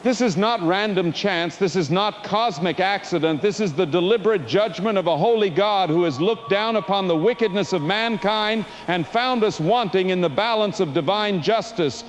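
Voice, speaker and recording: A high-voiced, fervent doomsday preacher acted by a man delivers a solemn, wrathful sermon. The oratory is grand and scriptural, in the manner of an audio drama, with ambient noise behind it. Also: moderate pace, few pauses, close to an everyday voice.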